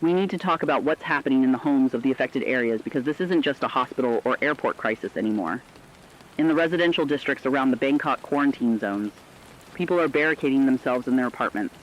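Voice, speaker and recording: A man's voice: medium pitch, quick pace, a little hiss or hum, lightly acted. Dull-sounding, earnest male radio correspondent played by a man. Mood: somber, concerned. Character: somber, compassionate male correspondent in zombie apocalypse. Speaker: news correspondent. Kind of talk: scripted radio news commentary.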